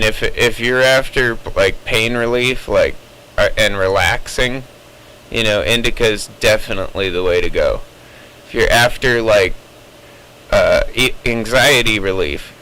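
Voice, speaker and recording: A man's voice: fairly low pitch, moderate pace, pushed and bright, clear, resonant tone, poor audio. A man chatting casually over CB radio, explaining his opinions at length in a rambling way. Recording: CB radio chatter with faint noise.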